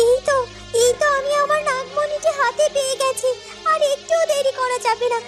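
A woman voicing an eager, kindly serpent queen in a fairy-tale cartoon, excited and urgent. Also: extremely high pitch, moderate pace, faint music behind. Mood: eager, relieved. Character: high-voiced serpent queen, eager and benevolent.

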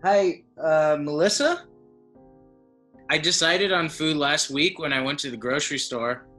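A man's voice: on the high side, steady tone, a little slow, bright, forceful tone, soft background music. A young man playing a bemused, easygoing guy on an awkward first date in a comedy sketch, speaking hesitantly and conversationally. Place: curtained room at home, on a video call.

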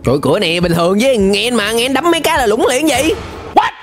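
A man's voice: very high voice, quick pace. A young man with a southern Vietnamese accent giving casual, exclaiming commentary on a cartoon as he watches it. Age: young adult. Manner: animated, exclaiming. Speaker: reaction streamer. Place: indoor streaming room. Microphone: close desk microphone, headset on. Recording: music playing behind the voice.

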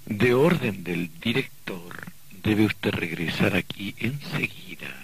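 A stern caller from the beyond in a radio play. His low voice gives formal orders very slowly. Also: lively intonation, slightly rough, heavily acted, low-quality recording. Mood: formal, commanding. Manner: slow, formal, commanding. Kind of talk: old radio drama acting.

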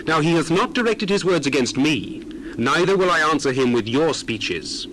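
Man acting an impassioned young biblical orator, animated pitch. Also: normal speed, noisy background, lightly acted. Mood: earnest, restrained indignation. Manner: declamatory, solemn, archaic phrasing. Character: earnest, indignant young man of ancient times addressing elders. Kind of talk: dramatized scripture reading.

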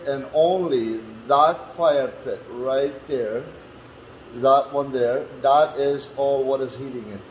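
A man from Canada chatting casually to camera as he shows his workspace, in a halting, folksy way with non-standard phrasing. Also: fairly high pitch. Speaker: vlogger and handyman host. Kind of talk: casual walk-through chat to camera. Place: indoors in a man cave workshop. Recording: echoey room with light background noise.

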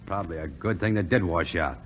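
Exasperated young male cadet, played by an actor, fairly low voice, slangy scolding, dull vintage radio sound with faint noise. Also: moderate pace, close to an everyday voice. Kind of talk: 1940s radio drama acting.

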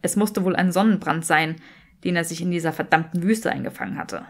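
A woman's voice: lowish voice, brisk. A woman reading scripted fiction as an audiobook narrator. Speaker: audiobook reader.

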